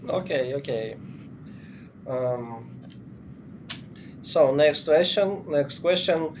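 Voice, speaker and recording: A man asking interview questions in halting English, often laughing. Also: on the high side. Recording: dull lo-fi audio with faint noise.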